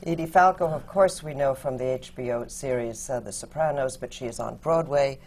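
Low-voiced woman moderating a panel. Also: moderate pace, darkish tone, slight background noise. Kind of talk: panel discussion.

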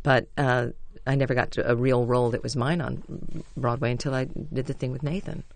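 A stage actress with a low voice, telling chatty, self-deprecating stories as a radio interview guest. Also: female voice, steady tone, a little fast, creaky.

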